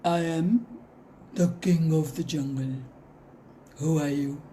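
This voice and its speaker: A woman's voice: deep voice, fairly even intonation, takes it slowly, warm tone, a little hiss or hum, voice pushed down. A woman voicing a big, proud male lion who rules the jungle and blusters with indignation. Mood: lordly.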